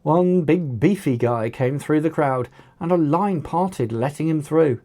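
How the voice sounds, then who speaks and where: A narrator reading an action story as its author, with animated, dramatic delivery and acted dialogue. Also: medium pitch, unhurried. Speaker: author narrating own book.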